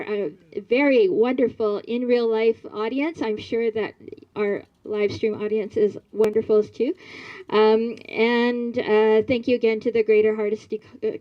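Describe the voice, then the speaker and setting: A woman's voice: fairly high pitch, lo-fi sound. A middle-aged woman moderating a public forum outdoors, speaking in a chatty way with many fillers into a handheld mic. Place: outdoors at a community event.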